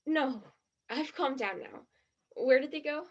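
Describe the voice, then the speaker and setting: A woman acting a shy, fibbing teenage tourist girl in a stage play, defensive and dreamy, with a husky, breathy voice. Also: sits high, measured pace, highs cut off. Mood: hesitant.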